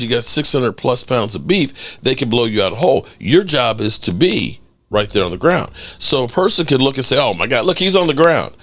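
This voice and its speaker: A middle-aged man hosting a sports call-in show, animated and joking, swearing freely. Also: medium pitch, dry recording. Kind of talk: casual call-in show talk.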